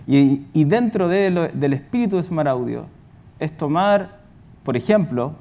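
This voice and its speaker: A man's voice: on the high side, expressive, measured pace, mellow. A man giving a wordy explanatory answer as a company representative on a panel, circling back with asides.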